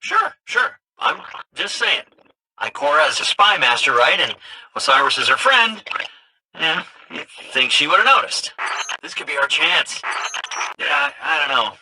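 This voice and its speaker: A man playing an excitable, cheeky game sidekick and guide who talks casually and stammers when flustered. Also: a little high, unhurried, gravelly, slight background noise, full character voice, rough recording. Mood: wry, unsure. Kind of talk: video game character dialogue.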